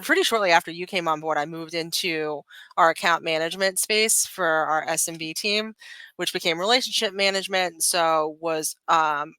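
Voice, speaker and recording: A woman's voice: fairly low pitch, normal speed, sharp tone, no echo. A woman being interviewed as a sales manager, talking in a chatty professional way and often saying "like" and "kind of".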